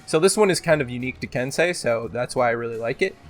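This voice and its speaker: A man's voice: medium pitch, lively intonation, fast talker, soft background music. A man casually hosting a gaming countdown video, talking in a joking, exaggerating way with some swearing. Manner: belts out game voice lines. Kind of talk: casual top-ten countdown commentary.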